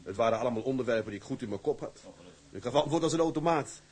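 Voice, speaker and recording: A man's voice: mid-range voice, lively intonation, rapid-fire, a little mellow, breathy edge. An actor playing an earnest, eager young seaman who has just qualified as a ship's mate, speaking respectfully and a little hesitantly. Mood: relieved, recounting. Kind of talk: radio or screen drama dialogue.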